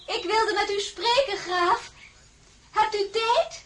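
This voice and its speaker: An actress playing a modest but stubborn young crown princess in an audio drama, halting when flustered. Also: female voice, very high voice, a bit bright, slight background noise, heavily acted. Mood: polite. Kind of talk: Dutch audio drama acting with narration.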